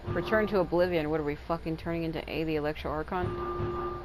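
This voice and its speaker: A woman's voice: low voice, steady tone, brisk, background noise. A woman giving casual, rambling let's-play commentary, candid and given to swearing. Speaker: gameplay streamer. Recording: game music playing behind the voice.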